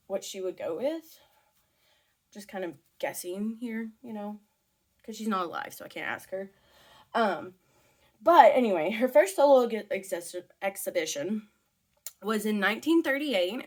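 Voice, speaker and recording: A woman's voice: medium pitch, darkish tone. A woman chatting casually as she tells a story while doing her makeup, with informal asides and a giggly manner. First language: English. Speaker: teacher.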